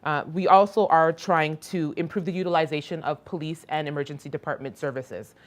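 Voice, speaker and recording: A woman's voice: low voice, steady tone, a little fast. A woman giving a conference presentation about a crisis outreach program, speaking hesitantly with frequent ums and restarts. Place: hospital conference room.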